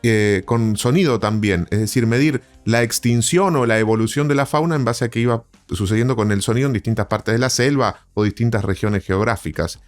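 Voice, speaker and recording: A man's voice: medium pitch, fairly quick, few pauses, faint music behind. An Argentine man hosting a podcast interview in a casual, conversational way.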